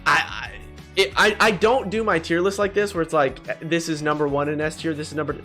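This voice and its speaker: A man's voice: fairly high pitch, steady tone, a little fast, pushed and bright, faint music behind. A young man doing casual, opinionated gaming commentary, talking in profane slang and exclaiming as he ranks things. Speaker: gaming video host. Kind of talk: casual tier-list commentary.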